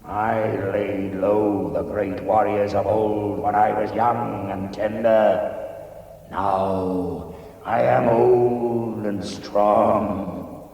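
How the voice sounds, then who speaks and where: Ancient male dragon, vintage radio drama: low, gravelly, pompous menace. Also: level tone, mellow. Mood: boastful menace. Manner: pompous, slow, grandiose boasting. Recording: cavernous echo, muffled, distant vintage radio.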